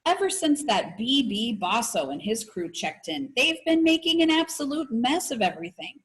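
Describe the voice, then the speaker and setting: A woman's voice: on the high side, very animated, moderate pace. A woman voicing a friendly, easily exasperated male chef and father from a children's book, chatty and emphatic.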